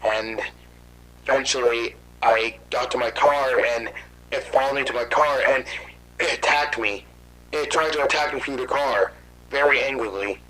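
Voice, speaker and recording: Man playing a scared, rambling witness, bright tense voice, curses when he panics, horror audio drama. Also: medium pitch, moderate pace, lightly acted, low-quality recording. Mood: worried. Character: frightened man hunted by monsters.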